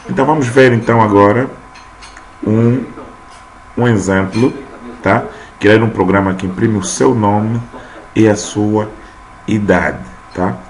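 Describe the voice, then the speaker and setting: A man's voice: fairly low pitch, steady tone, a little slow, long pauses. A man in his thirties teaching programming while typing code on screen, often checking in with "tá?". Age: 30s. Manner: talks while typing, frequent "tá?" check-ins. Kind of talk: screen-recorded coding lesson. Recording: noisy room ambience.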